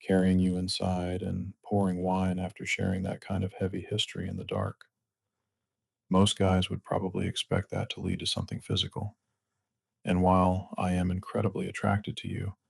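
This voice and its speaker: A man acting a chivalrous romance-drama hero with a very gravelly, husky low voice. He speaks evenly and earnestly, reassuring and measured, in a protective way. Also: no room sound, full character voice. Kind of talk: romance audio drama dialogue.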